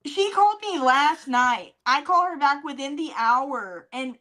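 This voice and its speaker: A woman's voice: high-pitched, animated, measured pace, a bit bright. A woman co-hosting a casual comedic podcast, reading messages out with wry mockery, swearing casually and laughing often. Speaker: podcast co-host.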